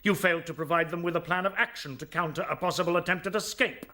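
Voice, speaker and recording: A man's voice: fairly high pitch, quick pace, pushed and bright. A man playing a merciless rabbit general in a radio drama, commanding, formal and severe. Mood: cold, stern.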